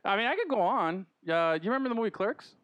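Male podcast host, higher voice, clear and close-miked dry sound; slangy, profane, animated storyteller. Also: quick pace, no echo. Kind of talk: casual chat, pop culture banter.

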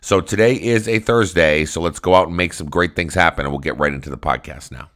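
A middle-aged man hosts his own podcast alone, talking in a rambling, upbeat way with frequent restarts. His voice sits at the very bottom of the male range, low and rumbling throughout. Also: brisk, a bit bright, soft background music. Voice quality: very deep voice. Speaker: podcast host. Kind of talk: casual solo podcast monologue.

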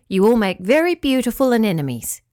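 A woman's voice: fairly high pitch, big pitch swings, fairly quick, a little mellow, dry recording, clean studio sound. A woman voicing Shaggles, a kindly but firm male creature who looks after the fish. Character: gentle, caring male water creature, friend to fish.

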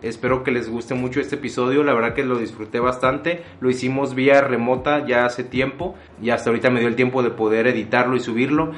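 A man from Mexico, an engineer hosting a podcast, introducing the show and asking his guest questions in a relaxed, friendly way. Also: mid-range voice, fairly quick, few pauses. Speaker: podcast host. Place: at home, remote call.

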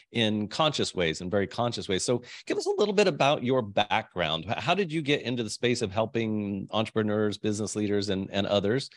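A man with a low voice hosts a podcast in an upbeat, enthusiastic way, letting his sentences ramble a little. His voice is recorded dry and close, with no room sound. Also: dry recording. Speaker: podcast host. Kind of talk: casual interview hosting.

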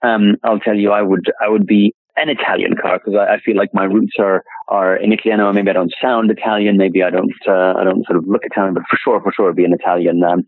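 A man's voice: fairly low pitch, little pitch movement, quick pace, hardly any pauses, dry recording. A man being interviewed as a classic car dealer, rambling with many restarts and repeated words. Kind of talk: casual interview chat. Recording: tinny phone-line audio, dry.

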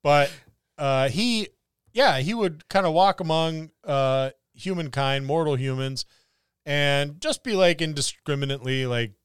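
A man's voice: on the high side, a little slow, clean tone, no room sound, studio quality. A man co-hosting a podcast, chatting casually about tabletop roleplaying in a rambling, jokey way. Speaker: podcast co-host.

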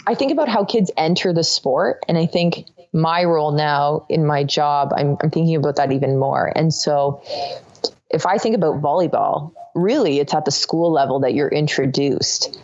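A woman's voice: sits low, moderate pace, a little mellow, no echo. A woman chatting casually and reflectively as a podcast guest. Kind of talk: casual chat. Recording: dull, dry, lo-fi audio.

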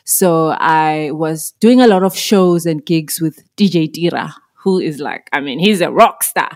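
A woman's voice: deep voice, measured pace. Reflective Zimbabwean woman musician. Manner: reflective, with fillers and soft laughs. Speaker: musician guest. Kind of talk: podcast interview.

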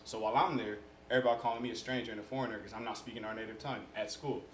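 A young American-born man whose first language is Yoruba, telling his story in a relaxed, casual way as a podcast guest. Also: lowish voice, very fast. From United States. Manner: relaxed storytelling, frequent fillers. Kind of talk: casual conversation. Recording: echoey room with background noise.

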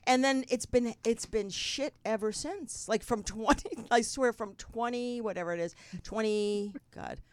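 A woman's voice: on the high side, unhurried, few pauses. A woman in her late fifties hosting a casual chat, candid and chatty, swearing casually. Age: 50s. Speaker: host.

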